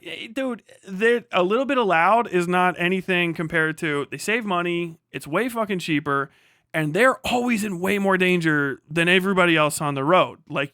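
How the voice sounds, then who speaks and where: A man streaming a casual, heavily sweary political chat, blunt and animated, often acting out imagined dialogue. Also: high voice, no room sound, clean studio sound.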